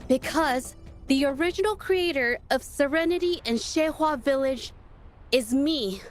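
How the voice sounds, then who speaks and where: Earnest young woman painter, higher voice, music behind. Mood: reflective. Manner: earnest, measured, reflective. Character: earnest young woman painter, gentle, haunted by her past. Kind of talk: video game voice acting, heartfelt storytelling. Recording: background music under the voice.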